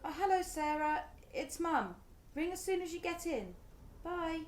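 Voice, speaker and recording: A woman's voice: very high voice, expressive, a little slow, a little hiss or hum. Roomy echo, woman playing a fretful british mum in a radio drama, middle-aged, voice swoops around a lot. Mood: anxious. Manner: fretful, emotive. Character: anxious, fretful, easily stung middle-aged mother. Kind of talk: naturalistic radio play acting.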